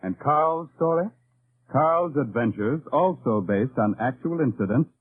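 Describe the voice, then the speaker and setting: A man's voice: medium pitch, lively intonation, measured pace, slightly rough, phone-quality sound. A man delivering dramatic, scripted narration in the style of 1950s radio drama. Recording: thin old radio broadcast. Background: loud music.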